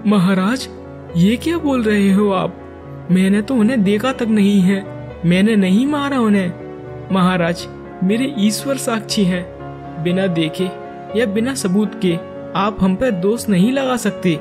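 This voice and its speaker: A man's voice: high-pitched, unhurried, a little mellow. A man voicing a wronged, pious priest in a cartoon story, with a plaintive, dramatic delivery. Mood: pleading. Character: wronged pious Brahmin priest, pleading innocence. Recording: music behind the voice.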